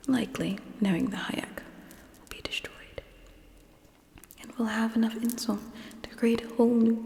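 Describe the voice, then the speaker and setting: Girl doing a scheming alien ruler for asmr, slow breathy whisper, cold and condescending, long echo. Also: a little high. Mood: cold satisfaction. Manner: slow, deliberate, hushed, coolly condescending. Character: ruthless, scheming female alien leader, coolly menacing. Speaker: ASMR performer.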